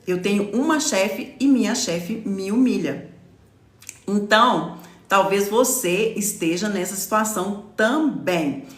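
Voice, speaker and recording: A woman's voice: medium pitch, takes it slowly, roomy sound. A woman in her forties from Brazil, a lawyer hosting a live stream and explaining to her followers in a chatty way, often checking in with "tá?". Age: 40s. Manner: explanatory, stops often to read comments, says "tá?".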